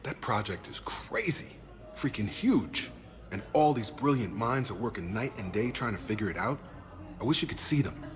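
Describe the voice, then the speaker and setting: A man's voice: fairly low pitch, close to an everyday voice. A man playing an earnest, hopeful military comrade in a video game, eager and emphatic in short phrases. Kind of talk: video game cutscene dialogue.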